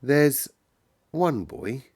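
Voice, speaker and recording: A man's voice: lowish voice, animated, moderate pace, natural voice. A man voicing a sickly, haughty young boy from a storybook, brooding and earnest in manner. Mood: thoughtful. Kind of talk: storybook character reading.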